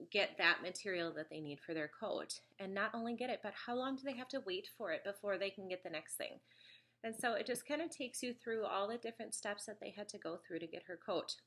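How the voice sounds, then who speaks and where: Woman, thirties, bright tone, mild cold, casual chatty review. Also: medium pitch. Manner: chatty, frequent ums, pages through a book. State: slight cold, sniffly, occasional cough. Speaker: homeschool product reviewer.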